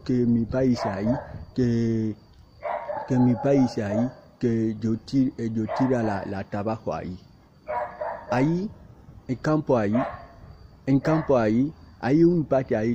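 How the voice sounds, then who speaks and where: A man speaking halting, repetitive second-language Spanish, insisting and defending himself in a self-recorded plea, outdoors in a field. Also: medium pitch. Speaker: migrant speaking to camera. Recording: muffled with clear background noise and barking dog.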